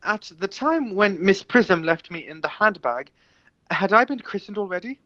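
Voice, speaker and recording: Woman playing an earnest young gentleman, close dry sound. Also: mid-range voice, lively intonation, moderate pace, no echo, lo-fi sound. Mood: anxious, insistent. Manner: formal, theatrical. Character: earnest, excitable young gentleman seeking his origins. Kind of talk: amateur radio play of period comedy.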